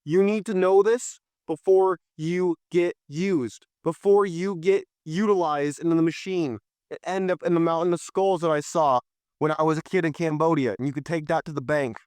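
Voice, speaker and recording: Impassioned young man, hoarse, high voice. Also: fairly even intonation, moderate pace, darkish tone, dry recording. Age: young adult. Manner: impassioned, preachy, addresses listeners directly. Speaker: podcast host. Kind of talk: solo political commentary.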